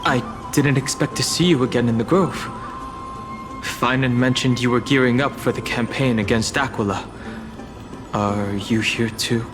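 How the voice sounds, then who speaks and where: A man performs a reserved young scholar-traveller from a fantasy game. He speaks in a measured, serious, understated way at a medium pitch. Reverb hangs on the voice over soft music. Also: natural voice. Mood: mild surprise. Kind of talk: video game dialogue. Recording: reverberant sound with music behind.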